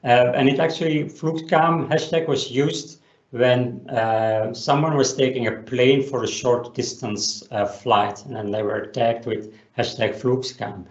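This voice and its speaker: A man gives an explanatory business webinar presentation, often pausing on an uh. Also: medium pitch, fairly even intonation. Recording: roomy echo, dull lo-fi sound.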